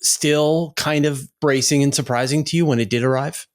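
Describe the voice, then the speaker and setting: A man's voice: fairly high pitch, steady tone, normal speed. A man hosting an interview in a conversational style, searching for his phrasing as he goes. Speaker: interviewer, channel host.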